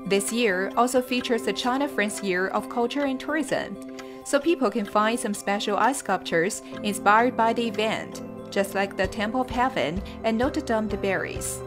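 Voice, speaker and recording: Woman narrating a cheerful travel vlog. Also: on the high side.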